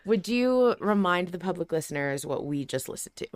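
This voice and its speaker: A woman's voice: on the low side, lively intonation, moderate pace, no echo. A woman hosting a podcast in a casual, enthusiastic conversational style. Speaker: podcast host. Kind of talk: casual podcast discussion with an introduction.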